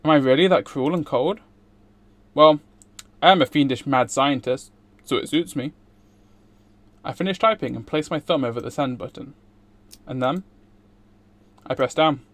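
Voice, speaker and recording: A man's voice: mid-range voice, moderate pace, pauses often, no room sound. A man streaming a game, reading a visual novel aloud.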